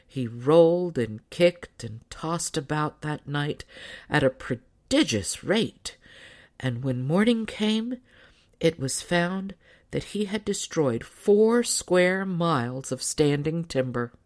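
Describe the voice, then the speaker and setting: A woman's voice: deep voice, animated, slow pace, darkish tone. A woman narrating a storybook as a scripted audiobook reading.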